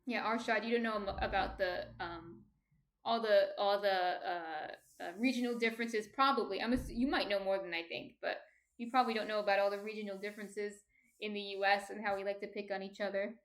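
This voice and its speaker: A soft-spoken woman in her thirties with a New Jersey accent, casually chatting and answering viewer comments on her stream. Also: medium pitch, steady tone, a little fast. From New Jersey, USA.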